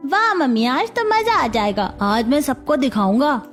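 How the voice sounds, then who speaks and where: A woman's voice: sits high, very animated, a little slow, faint music behind. A woman voicing an eager, cheerful young schoolchild in a cartoon story. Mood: excited. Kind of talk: cartoon child acting.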